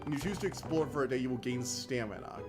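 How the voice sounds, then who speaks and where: A man streaming a video game, chatting excitably and reading the game text aloud, laughing often, with a slightly whiny voice. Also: medium pitch, quick pace. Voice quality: self-described whiny voice. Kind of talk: casual let's-play commentary, reading game text aloud. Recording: game music behind.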